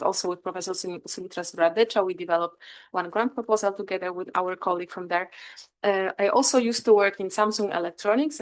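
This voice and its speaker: A female researcher with a Polish accent delivers an academic presentation in English, which is not her native language. Her voice sits at an average pitch for a woman. She proceeds hesitantly, often pausing and rewording herself. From Northeast Poland. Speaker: academic researcher, guest speaker.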